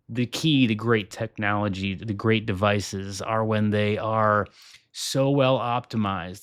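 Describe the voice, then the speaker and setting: A man hosting a tech video, walking through a product demo with enthusiasm and repeating his points for emphasis. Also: on the low side. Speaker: tech reviewer.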